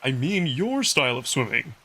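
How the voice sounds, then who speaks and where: A man's voice: medium pitch, very animated, moderate pace, pushed and bright, exaggerated voice. A man playing a playful, competitive young wolf prince in a visual novel, with a teasing, cheerful and self-assured manner. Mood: curious. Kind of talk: visual novel character acting.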